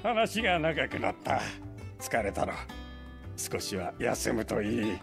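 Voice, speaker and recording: A kindly old scholar in a fantasy video game. He speaks in measured, old-fashioned phrases with a mid-pitched voice and a grave, caring manner. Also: sing-song, vocal fry, music in the background, full character voice. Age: older. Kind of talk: Japanese video game voice acting.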